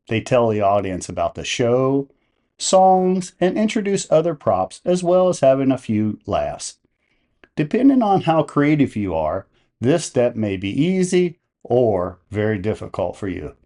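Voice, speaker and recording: Male how-to narrator: mid-range, mellow voice, measured instructional delivery. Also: medium pitch. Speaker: tutorial host.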